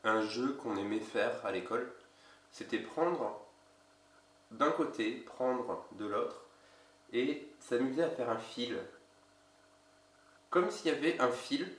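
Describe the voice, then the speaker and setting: A man with a cold, an energy healer giving a casual instructional video in a slow, halting way. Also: medium pitch, expressive, a bit bright, far from the mic. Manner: slow, halting, with many pauses. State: has a cold. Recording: roomy echo, away from mic.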